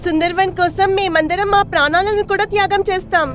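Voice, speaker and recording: Woman as animal soldier, loyal, earnest, pleading, high raised pitch, animated tale, soft music. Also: a little fast. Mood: impassioned. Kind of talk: animated story dialogue.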